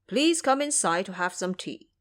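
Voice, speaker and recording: A woman's voice: medium pitch, sing-song, moderate pace, lightly acted. A woman voicing a courteous but timid male temple monk with a polite, nervous manner. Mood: welcoming.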